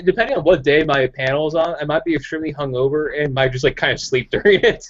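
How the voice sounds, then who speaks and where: Guy bragging and cussing on a voice call, kinda high voice, muffled lo-fi audio. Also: quick pace, background noise. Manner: boastful, swears, laughs at own stories. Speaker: chat participant. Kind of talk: casual group voice chat. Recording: dull lo-fi voice chat.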